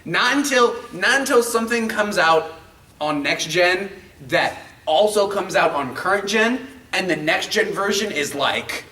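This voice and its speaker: Bright-voiced man in an echoey room. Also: high-pitched, lively intonation, measured pace. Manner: opinionated, emphatic. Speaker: video game show co-host. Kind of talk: casual banter about video games. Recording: echoey room, distant mic.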